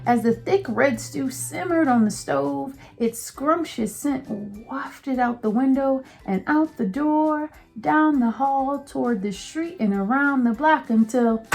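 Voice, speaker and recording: Woman teacher for a kids' read-aloud; mellow, higher voice, encouraging. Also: gravelly. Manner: warm, encouraging, speaks directly to children. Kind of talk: children's read-aloud lesson.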